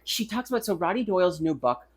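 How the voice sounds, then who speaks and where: A woman's voice: lowish voice, very animated, fast, husky. A young American woman chatting casually as a podcast co-host, irreverent and slangy with some swearing. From United States. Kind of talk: casual chat, book review banter.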